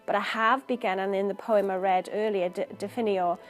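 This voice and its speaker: A woman's voice: medium pitch, steady tone, brisk, faint music behind. A woman poet from Wales, talking about her work in an earnest, thoughtful interview, searching for words as she goes. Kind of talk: reflective interview talk.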